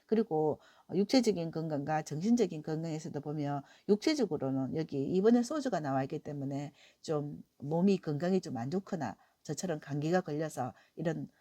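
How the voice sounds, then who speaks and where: A middle-aged woman with a cold-roughened voice gives a weekly tarot reading in a gentle, encouraging way, with many soft fillers. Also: sits low, expressive, fairly quick, hardly any pauses, no echo. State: hoarse from a cold. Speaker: tarot reader.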